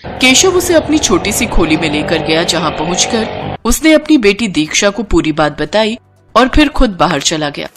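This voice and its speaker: A woman's voice: fairly low pitch, big pitch swings, normal speed, music in the background. A woman reading scripted story narration as the storyteller.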